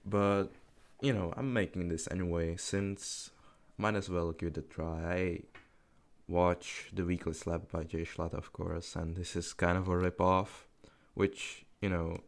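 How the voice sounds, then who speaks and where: Guy, 18, deep creaky voice, rambling gameplay commentary, swears a lot, laughs at himself. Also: flat delivery, unhurried. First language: Czech. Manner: rambling, self-deprecating, swears casually, laughs often. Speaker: gaming commentary YouTuber.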